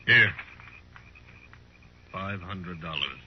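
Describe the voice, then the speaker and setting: A man's voice: sits low, drawn-out words. A man playing a stern, dignified Cheyenne chief in a 1950s radio western, speaking in terse, clipped, solemn phrases. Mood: stern, guarded. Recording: muffled old radio recording, heavy noise.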